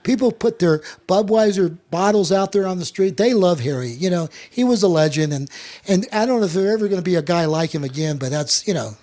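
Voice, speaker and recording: Male sports talk host, high-pitched voice, chatty anecdotal delivery with repeated words. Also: lively intonation, brisk, warm tone. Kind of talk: casual chat and anecdote telling.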